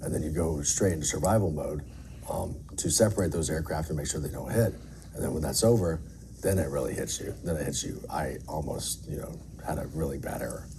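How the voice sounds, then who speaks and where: A man's voice: extremely low pitch, fairly quick. A middle-aged man giving candid, earnest interview answers in an emphatic, plain-spoken way, as an air traffic controller. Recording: faint background noise.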